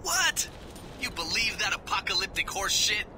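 An actor playing a hot-headed, foul-mouthed soldier in a video game with an aggressive delivery. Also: male voice, high-pitched, sing-song, moderate pace, over music. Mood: scornful. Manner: aggressive, swearing. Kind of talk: video game cutscene acting.

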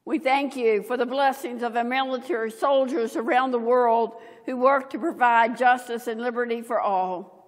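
An older woman, a pastor, reading prayers and liturgy in a solemn, measured manner, phrase by phrase, at a church lectern. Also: fairly high pitch, fairly even intonation. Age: older, 70s. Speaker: pastor leading worship. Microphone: gooseneck lectern microphone and clip-on. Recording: reverberant church hall.

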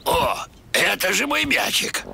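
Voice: medium pitch, sing-song, slow, creaky, background noise, exaggerated voice. A performer voicing an old grandfather bumblebee in a children's cartoon, playful with baby talk and boasting. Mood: boastful. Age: older. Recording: music behind.

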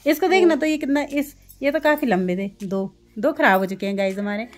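A woman's voice: sits high, fairly quick, reverberant. A woman, chatting casually and repetitively as she vlogs at home on a phone camera. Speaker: vlogger. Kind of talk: casual family vlog chat. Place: living room at home. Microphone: handheld phone camera. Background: background music.

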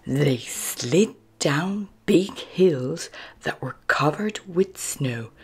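A woman reading a children's storybook aloud as narrator, expressive, moving between hushed phrases and emphatic words. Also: deep voice, drawn-out words, slightly rough, faint music behind. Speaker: storybook narrator and channel host.

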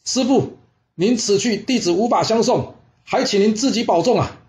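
A man's voice: mid-range voice, expressive, brisk, warm tone, close to an everyday voice. Man acting earnest, loyal ancient Chinese archer disciple. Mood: solemn, calling out.

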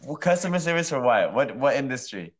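A young man hosting a casual live stream from home, speaking close to a phone. His voice swings widely in pitch as he teases and laughs. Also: big pitch swings, fairly quick. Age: young adult. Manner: teasing, laughs often, plays an irate customer. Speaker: livestream host. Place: indoor room at home. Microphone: phone held close.

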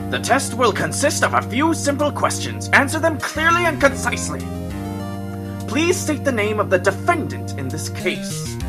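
A woman's voice: on the high side, huge pitch swings, moderate pace, over music. A woman voicing a stern, solemn judge in a fan-dubbed courtroom game, formal and grave in manner.

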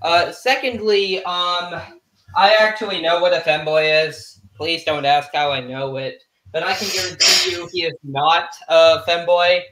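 A man's voice: fairly high pitch, fairly even intonation, a little slow, sharp tone, a little hiss or hum. A man from Texas in casual chat on a live stream call, rambling with lots of fillers. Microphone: video call. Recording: echoey room on a call.

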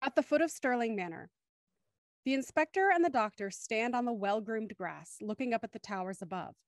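High-pitched woman narrator and host. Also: animated, brisk, no room sound, studio quality. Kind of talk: scripted radio play narration and livestream hosting. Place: indoors on a group video call.

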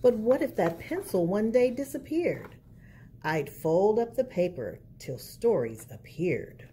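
A woman's voice: medium pitch, very animated, measured pace, mellow. A woman reading a picture book aloud as a storyteller, expressively and for young children. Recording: faint background noise.

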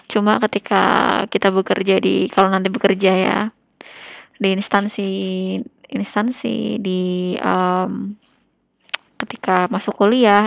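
A female English teacher with an Indonesian accent talks through a lesson in an instructional way. Her voice has a noticeable creak at an average pitch for a woman. She sets out example phrases slowly, and the sound is dry and free of room echo. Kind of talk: slide-based language lesson, mixing explanation with read-out example sentences.

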